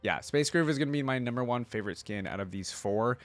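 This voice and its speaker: A man hosting a casual reaction video, rambling and thinking out loud with enthusiasm. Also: medium pitch, expressive, quick pace, soft background music. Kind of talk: casual reaction commentary, reading aloud.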